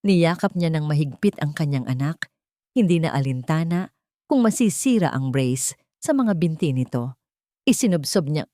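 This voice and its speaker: A woman storyteller narrating a scripted dramatic audio story in deliberate, sentimental short phrases. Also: sits low, warm tone, no room sound, clean studio sound. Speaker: storyteller and channel host.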